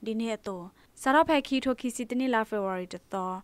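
A woman's voice: fairly high pitch, lively intonation, quick pace, clean tone, dry recording. A woman presenting the news, reading from a script as a radio newsreader.

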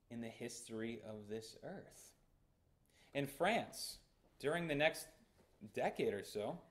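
A young man with an American accent preaching a sermon in a small church, earnest and emphatic, gesturing as he speaks. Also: medium pitch. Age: young adult. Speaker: pastor. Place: small church sanctuary, indoors. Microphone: fixed camera at the front of the church.

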